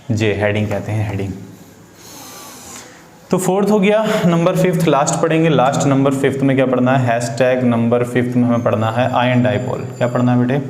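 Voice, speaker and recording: A man's voice: mid-range voice, a little mellow. A man teaching an informal lesson to students, repeating phrases and asking them rhetorical questions. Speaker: chemistry teacher. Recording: echoey room with faint background noise.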